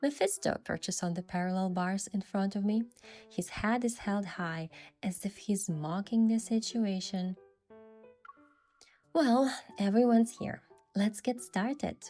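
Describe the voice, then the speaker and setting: A woman's voice: mid-range voice, animated, takes it slowly, dark tone. A woman giving scripted first-person story narration with acted dialogue. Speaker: narrator and lead character of a game event story. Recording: music behind.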